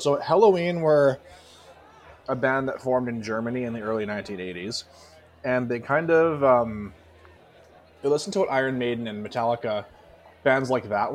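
A man with a mid-pitched voice chats casually as a podcast co-host. He talks in loose, emphatic bursts, doubling back on phrases and dropping swear words freely. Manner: profane, hesitant, restarts phrases emphatically. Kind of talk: casual chat about music.

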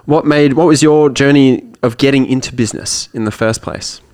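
A man co-hosts a podcast in a voice of middling pitch. He talks in a casual, friendly way and pads his lines with hesitant fillers. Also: normal speed, darkish tone. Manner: friendly, with hesitant fillers when introducing the guest. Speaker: podcast co-host.

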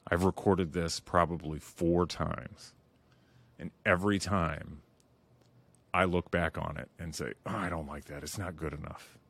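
A middle-aged man with a deep voice hosting his own podcast, talking candidly and earnestly with many restarts and "you know"s. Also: steady tone, a little slow, vocal fry, fairly bright tone. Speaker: podcast host. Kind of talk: reflective solo podcast monologue.

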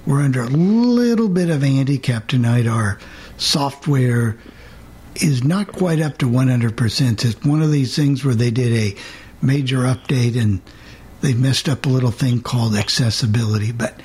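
A man's voice: mid-range voice, animated, moderate pace, darkish tone. A man hosting a live radio panel show in a casual, conversational way. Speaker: host of a radio hobbyist show.

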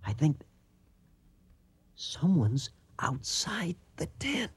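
A lively, brash expedition assistant. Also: male voice, on the high side, slightly rough, full character voice. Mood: impatient, wry. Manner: brisk, informal, wry. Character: brash, impatient young archaeologist's assistant, eager, sardonic. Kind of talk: radio drama acting.

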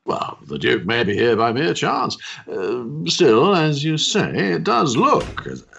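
An actor playing a genial, gossiping older Victorian society doctor, with fussy, hesitant, pompous politeness in a period stage drama. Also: male voice, mid-range voice, big pitch swings, takes it slowly, gravelly, fairly bright tone, slightly rough, highs cut off, exaggerated voice. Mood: courteous, confiding.

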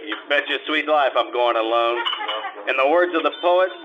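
A man's voice: mid-range voice, normal speed, narrow, tinny audio, background noise, close to an everyday voice. A man playing a wisecracking, hard-boiled private detective in old-time radio style, terse, slangy and sardonic. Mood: teasing, breezy.

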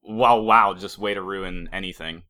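Bright-voiced young man hosting. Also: deep voice, normal speed. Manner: joking, laid-back banter. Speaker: podcast host. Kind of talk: casual chat.

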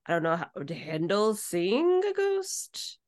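A woman's voice: medium pitch, wildly swooping pitch, a little slow, darkish tone. A woman hosting a podcast in casual chat, reacting playfully with hums and short exclamations. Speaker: podcast host.

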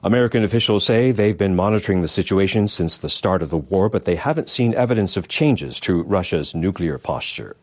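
A man's voice: low-pitched, animated, brisk, hardly any pauses, no room sound, studio quality. A man reading scripted international news as a newsreader. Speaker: news reader.

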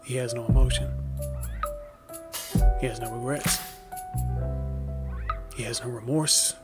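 A male actor playing a wrongly imprisoned young man telling his story in a halting, reflective monologue for a documentary audio drama. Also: mid-range voice, steady tone, over loud music, lightly acted. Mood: solemn, earnest.

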